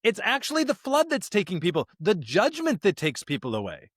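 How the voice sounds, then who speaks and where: A man with a slightly high voice, recorded dry and close. He works through Bible passages as a podcast co-host, moving between reading and conversational commentary as he thinks aloud. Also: wildly swooping pitch, fairly quick, a bit bright, slightly rough, no room sound. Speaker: podcast co-host discussing scripture.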